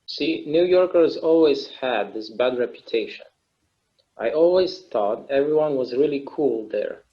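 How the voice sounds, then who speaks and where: Guy acting as a thoughtful immortal man in a play reading, halting n earnest, mid pitch, kinda lo-fi muffled sound. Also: lively intonation, natural voice. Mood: amused. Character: wistful, reflective immortal man confiding in his partner. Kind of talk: naturalistic dramatic table read. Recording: slightly dull, lo-fi sound.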